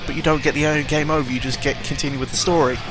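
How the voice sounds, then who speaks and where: A man's voice: fairly high pitch, little pitch movement, rapid-fire. A young man ranting casually about video games, exasperated and full of fillers. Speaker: gaming vlogger. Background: video game music.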